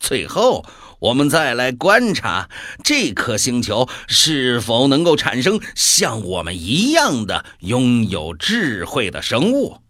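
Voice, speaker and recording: A man playing a bored, mocking devil in an audio drama, with a theatrical, drawn-out delivery. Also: medium pitch, wildly swooping pitch, a bit bright, dry recording, clean studio sound, full character voice. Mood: sly, scheming. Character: mocking, bored male devil who favours death.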